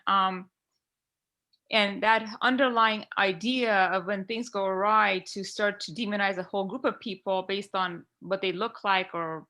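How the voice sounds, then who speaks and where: A woman's voice: medium pitch, pushed and bright. A woman from Iran speaking earnestly on a podcast video call, heartfelt and rambling with many fillers. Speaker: podcast panelist.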